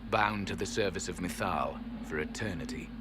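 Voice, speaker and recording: A man's voice: deep voice, moderate pace, background noise, natural voice. A man playing a proud, solemn, ancient elven temple guardian in a fantasy game, speaking in a stately, disdainful, formal manner. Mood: resigned. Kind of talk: dramatic fantasy game acting. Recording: game music behind the voice.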